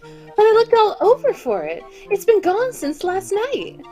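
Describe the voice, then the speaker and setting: A woman acting out a proud circus star in a 1940s-style radio play, indignant and taunting. She has a high voice with lively swings in pitch. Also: a little slow, soft background music, heavily acted. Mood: weary.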